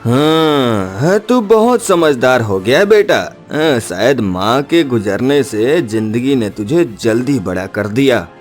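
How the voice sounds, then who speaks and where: A man's voice: medium pitch, sing-song, slow pace, few pauses, faint music behind. A man playing a poor, protective woodcutter father, earnest and reassuring, in a cartoon story.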